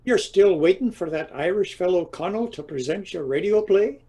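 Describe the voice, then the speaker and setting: Radio play husband for a male actor: dim, relaxed and mellow, dryly needling. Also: high voice, moderate pace. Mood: easygoing. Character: Joe, dim, teasing husband of a diva. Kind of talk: radio drama acting.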